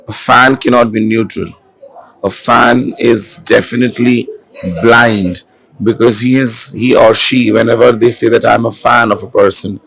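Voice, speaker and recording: A hoarse man, an author and film director, speaking in a festival interview with many fillers and restarts. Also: mid-range voice, rough recording. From India. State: hoarse, has lost his voice. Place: literature festival venue. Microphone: handheld mic.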